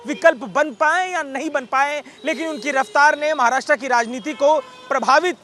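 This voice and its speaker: Male news narrator. Scripted read, extremely high and forceful, noisy backdrop. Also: moderate pace. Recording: clear background noise.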